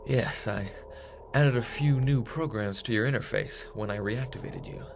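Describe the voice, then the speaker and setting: A man's voice: mid-range voice, normal speed, creaky, background noise, natural voice. A man playing a bold space captain in an audio drama, with theatrical old-fashioned heroics. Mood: cocky. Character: bold, jaunty male space hero captain.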